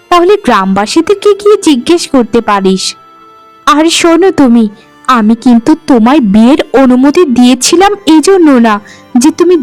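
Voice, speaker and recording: A woman playing a jealous, bitter elder co-wife in a cartoon, with cutting accusations. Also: high voice. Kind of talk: cartoon dialogue.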